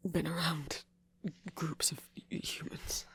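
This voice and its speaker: Breathy, husky vampire played by a man, slow and whispery. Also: a little high, flat delivery, bright, forceful tone, full character voice. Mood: wistful, anxious. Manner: hesitant, halting, teasing, breathy. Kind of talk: intimate ASMR audio roleplay.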